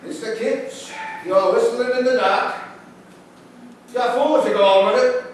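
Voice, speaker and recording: A man on stage playing a gruff older countryman who gives blunt, cautioning advice. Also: very high voice, a little slow. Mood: blunt warning. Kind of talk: stage play acting. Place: indoor theatre stage, mic far off. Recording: very echoey theatre, distant mic, faint noise.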